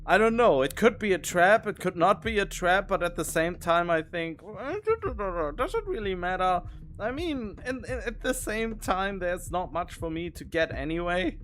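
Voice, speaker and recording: A man's voice: high voice, animated, measured pace, a bit bright. A man streaming a video game, thinking aloud in casual commentary with rambling, wondering questions.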